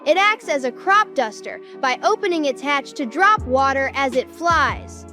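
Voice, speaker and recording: A woman's voice: sits high, animated, moderate pace, pushed and bright, faint music behind. A woman playing a helpful, matter-of-fact kid in a cartoon comic dub, explaining things tidily. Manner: tidy, explanatory. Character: helpful, matter-of-fact kid who flies model planes.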